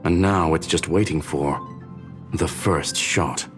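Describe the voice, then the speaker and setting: An actor voicing an ominous male ally who speaks slowly and portentously. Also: extremely low pitch. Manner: slow, portentous. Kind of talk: game voice acting. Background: game music.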